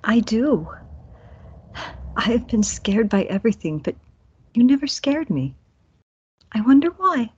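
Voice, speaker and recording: Actress as anxious, trusting sweetheart in fantasy radio play: high, swooping, emotional, slightly lo-fi. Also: female voice, very animated, unhurried, pauses often, darkish tone, slightly rough, exaggerated voice. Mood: hopeful, trusting. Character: frightened, trusting woman in love. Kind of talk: fantasy audio drama acting. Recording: dull lo-fi sound.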